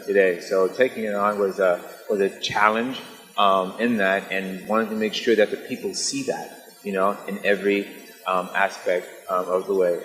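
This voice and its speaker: A low-pitched man answers in an interview, pausing and feeling for his words. His voice echoes as in a hall. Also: fairly bright tone. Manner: halting, searching for words. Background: music.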